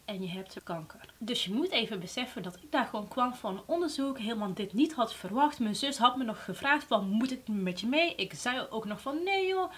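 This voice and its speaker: A woman in her late twenties talks straight to camera in a candid, conversational way. Her voice quickens as she relays her own thoughts and sinks low and quiet on the hard news. She is recorded indoors on a clean mic, framed at chest height. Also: animated. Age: late 20s. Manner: candid, conversational, quickens when recounting thoughts, drops softly on grave facts. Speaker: beauty vlogger. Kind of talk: personal story told to camera. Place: indoor room before a plain backdrop.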